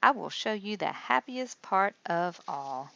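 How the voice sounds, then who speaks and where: A woman's voice: mid-range voice, expressive, measured pace, gravelly, a bit bright, muffled recording, a little hiss or hum, pitched above the performer's own voice. Woman reading as a kindly, lilting nurse guide. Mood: gentle, cheerful. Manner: patient, explanatory, reassuring. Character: kindly adult woman nurse guiding children.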